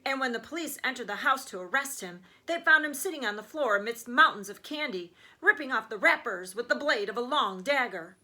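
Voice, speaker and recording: A woman's voice: on the high side, animated, fairly quick, few pauses, sharp tone. A woman reading a children's story aloud as a teacher would, with emphatic storytelling phrasing.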